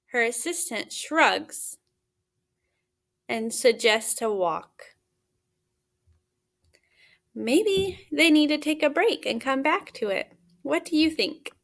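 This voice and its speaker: Female picture-book reader, high-pitched and unhurried, teacher addressing little kids with simple questions. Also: lively intonation. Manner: simple questions with pauses for answers. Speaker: teacher reading aloud to children. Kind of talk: picture-book read-aloud with questions to young children.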